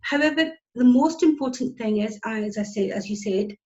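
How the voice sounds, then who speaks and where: A woman's voice: fairly high pitch, normal speed, darkish tone, muffled recording. A woman from Scotland with a Scottish accent, a guest talking business in a casual video interview, upbeat and emphatic, often repeating her key words.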